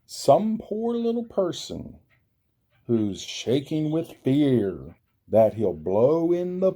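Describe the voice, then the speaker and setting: A man's voice: mid-range voice, mellow, slightly rough, full character voice. A man voicing an earnest, kind and protective elephant in a storybook, with emphatic, pleading delivery.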